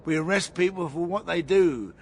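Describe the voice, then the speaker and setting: A man playing a calm police detective who questions a suspect with measured, probing insistence in a radio drama. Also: high voice, slight background noise. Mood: calm, leading. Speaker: police interrogator.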